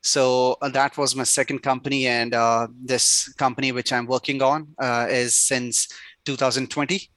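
Bright, flat-toned man, halting answers. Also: mid-range voice, no echo. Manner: hesitant, many "uh" fillers. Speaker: startup CEO, guest. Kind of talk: interview answers.

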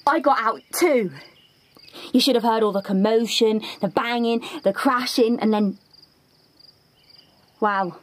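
Husky, high, eager budgie. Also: female voice, a little slow, pauses often. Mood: excited. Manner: gushing, wide-eyed, artless. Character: eager, naive, starstruck young budgie escaped from a cage. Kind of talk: audio drama acting. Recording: music behind the voice.

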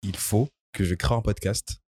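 A man's voice: on the low side, a little mellow, husky. A man hosting a podcast, introducing his guest earnestly and then asking questions with a few hesitant fillers. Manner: earnest, measured, many fillers when asking. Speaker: podcast host. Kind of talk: podcast interview, scripted intro.

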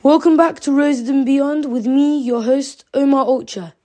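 A woman reading a scripted podcast introduction in an even, read-aloud manner. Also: high-pitched, normal speed.

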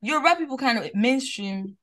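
A young woman from Nigeria co-hosting a podcast, talking animatedly in a casual chat, with quick swings in pitch and loudness. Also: animated. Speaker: podcast co-host.

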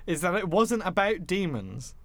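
A man's voice: high voice, big pitch swings, normal speed, dry recording. A man in his twenties chatting casually as a podcast co-host, opinionated and sweary. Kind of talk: casual chat about films and games.

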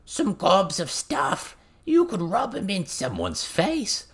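Man putting on a high-pitched little goblin girl. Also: wildly swooping pitch, slow, fairly bright tone, breathy edge, exaggerated voice. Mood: timid, offering.

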